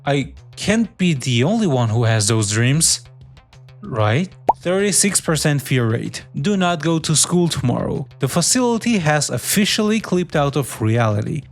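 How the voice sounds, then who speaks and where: Male horror host, lightly higher register, switches between scripted reading and casual asides to the audience, soft music behind. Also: big pitch swings, unhurried. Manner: informal, addresses viewers directly. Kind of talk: scripted narration mixed with casual commentary.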